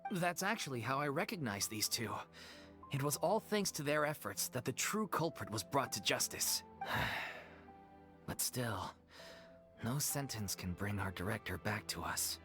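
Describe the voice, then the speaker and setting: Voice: medium pitch, animated, unhurried, a bit bright. Nervous, apologetic troupe guy, voice rising and falling a lot, faint game music. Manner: anxious and apologetic. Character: worried theater troupe member.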